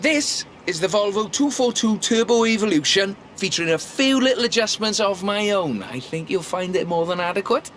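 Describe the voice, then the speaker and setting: High-pitched, wordy drift club mentor. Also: male voice, lively intonation, moderate pace, few pauses, noisy background. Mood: eager, instructive. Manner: wordy, formal, pedantic. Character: eager, polite male drift club mentor. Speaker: drifting instructor. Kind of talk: scripted video game dialogue. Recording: loud music over the voice. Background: loud radio music and car engines.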